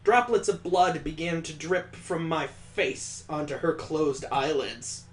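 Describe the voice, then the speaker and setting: A man's voice: on the high side, slow pace, roomy sound, faint music behind. A man reading a visual novel aloud, mixing dramatic narration with sarcastic comic asides. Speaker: let's play host.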